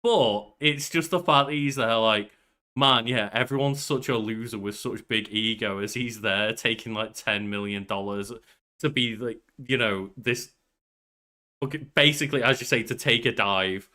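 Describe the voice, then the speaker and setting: Young man, bright voice, average pitch, casual podcast chat, bantering. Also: normal speed. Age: young adult. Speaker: podcast co-host. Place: small bedroom at home.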